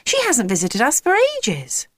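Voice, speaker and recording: An earnest young schoolgirl in a storybook read by a woman. She is a little gloomy and grumbling, her voice often raised in pitch, in a dry recording. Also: huge pitch swings, moderate pace, breathy edge, no room sound, exaggerated voice. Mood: earnest, concerned.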